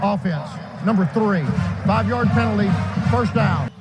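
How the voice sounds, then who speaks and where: A man as the game referee giving flat, clipped official announcements, heard from far off over the stadium speakers. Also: sits high, unhurried, loud music behind the voice. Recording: distant stadium PA in a noisy broadcast.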